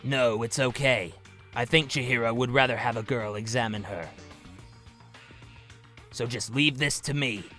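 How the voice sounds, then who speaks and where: A man voicing a solemn, gentle, massive teenage girl fighter in an anime fan dub, speaking gravely and formally. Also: mid-range voice, a little slow, pauses often, faint music behind.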